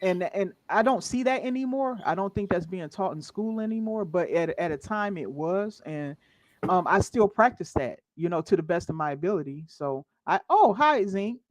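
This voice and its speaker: A man's voice: high-pitched, expressive, normal speed, dry recording. An American man co-hosting a casual livestream chat, chatty and earnest, greeting viewers in the chat. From United States. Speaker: co-host of a gaming podcast.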